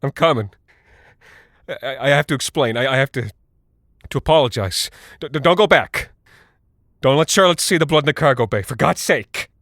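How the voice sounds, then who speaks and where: Horror audio drama: guilt-ridden, delusional pilot dad, halting, haunted, desperate, very dry sound. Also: male voice, mid-range voice, animated, a bit bright, no echo. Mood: panicked urgency. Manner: halting, stammering, rambling, haunted.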